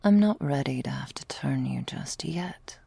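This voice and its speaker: A woman playing a loving, patient vampire girlfriend in a whispered ASMR roleplay, with soft breathy delivery and tender, languid, formal phrasing. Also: rumbling low, lively intonation, a little slow, no room sound, full character voice. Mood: teasing, languid.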